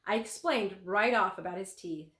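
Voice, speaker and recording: A woman reading a children's book aloud as a teacher would, with an expressive, animated delivery. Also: mid-range voice, normal speed. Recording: roomy, echoing room sound.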